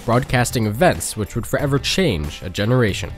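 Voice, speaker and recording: A man's voice: medium pitch, lively intonation, fairly quick. An American man delivering scripted documentary narration. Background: music.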